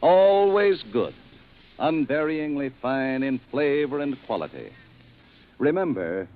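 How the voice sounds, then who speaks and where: An American man delivers an emphatic 1940s radio ad, swinging widely in pitch and lingering slowly on his slogans. The sound is a tinny old broadcast with faint noise. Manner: emphatic, spelling out and stressing slogans. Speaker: commercial announcer.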